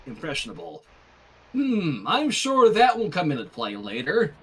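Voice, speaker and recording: Male fan fiction narrator, wry and very lively, slightly husky, breaks off to comment. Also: a little high, measured pace. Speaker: story reader. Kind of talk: fan fiction reading with casual asides.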